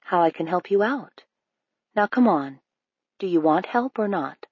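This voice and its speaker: A woman reading the part of a menacing adult man who speaks softly and wheedles a child in a novel. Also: fairly low pitch, lively intonation, measured pace, husky, dry recording, dull audio. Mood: wheedling. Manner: soft, wheedling. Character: soft-spoken menacing adult man on a beach.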